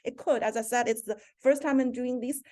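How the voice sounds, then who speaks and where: Woman speaker, somewhat high voice, halting delivery with restarts, heard over a video call. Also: steady tone, dark tone. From China. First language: Mandarin. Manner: halting, frequent restarts and self-corrections. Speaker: seminar speaker, professor. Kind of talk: virtual seminar talk.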